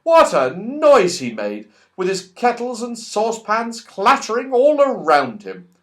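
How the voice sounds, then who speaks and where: A man narrating a children's story in an expressive, playful way, exclaiming over events as an audiobook reader. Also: high voice, measured pace.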